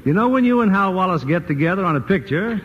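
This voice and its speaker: A man's voice: sits high, lively intonation, fast talker. A man hosting a radio theater show, introducing guests and reading a sponsor message in a polished announcer manner. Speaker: radio host. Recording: muffled old radio broadcast with faint hiss.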